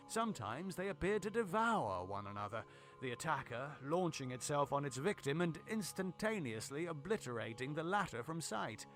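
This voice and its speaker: A man's voice: on the high side, fairly bright tone. Grave male horror narrator over faint music. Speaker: audiobook narrator. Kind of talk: dramatic scripted horror narration.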